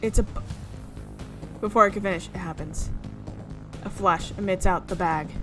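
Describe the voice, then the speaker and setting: A woman hosting a gaming video, reading a visual novel aloud in an expressive way with exclaimed reactions. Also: on the low side, normal speed, over music.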